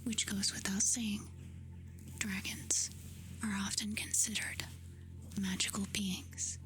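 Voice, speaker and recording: A woman doing soft-spoken ASMR, reading facts aloud in a hushed, soothing, breathy whisper. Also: mid-range voice, little pitch movement. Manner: slow, hushed, soothing delivery with short phrases. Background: music.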